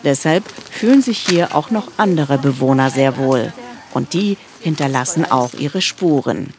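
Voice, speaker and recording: A man reading a scripted news report voice-over in a calm, measured way. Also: mid-range voice, noisy background.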